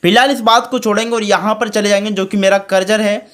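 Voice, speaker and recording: A man's voice: high voice, fairly even intonation, fast, a bit bright. Male how-to presenter, chummy and direct, explaining on-screen steps. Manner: chummy, addresses viewers as friends and brothers. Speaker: tutorial channel host. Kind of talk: screen-recorded tutorial commentary.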